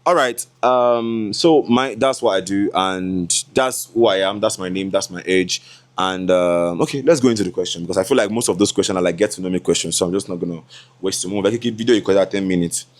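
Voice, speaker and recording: A man's voice: low-pitched, fairly quick. A man in his twenties with a Nigerian accent talks casually to camera as a content creator, chatty and laughing, with Yoruba asides. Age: 20s. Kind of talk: casual vlog talk to camera.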